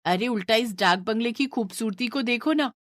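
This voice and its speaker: A woman's voice: a little high, moderate pace, no room sound, clean studio sound, natural voice. A woman playing a teasing, fearless young sister in a horror story, with a playful, mocking manner.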